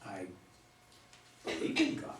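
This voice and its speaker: A man acting a grieving, bitter widower and recovering drinker in a staged play reading, halting and terse. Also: close to an everyday voice. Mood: grief-stricken. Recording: roomy, distant mic, faint noise.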